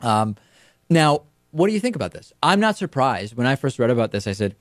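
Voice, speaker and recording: A man's voice: medium pitch, big pitch swings, fairly quick, dry recording. A young man born in Argentina whose first language is Spanish, hosting a news commentary show in a studio with an emphatic, explaining manner. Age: young adult. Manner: emphatic, explaining with hand gestures. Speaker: talk show host. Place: TV studio set, indoors. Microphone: studio mic on a stand in front of him.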